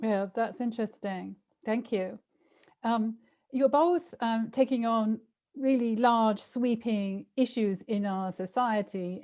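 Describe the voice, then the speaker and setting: Woman moderator, relaxed mellow tone, measured questions, on a video call. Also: medium pitch. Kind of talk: panel moderation.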